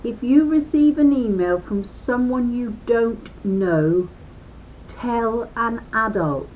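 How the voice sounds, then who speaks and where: A woman's voice: mid-range voice, a little mellow, slight background noise, low-quality recording. A woman reading a children's book aloud in a teacherly, emphatic way, adding chatty asides and questions to her listeners.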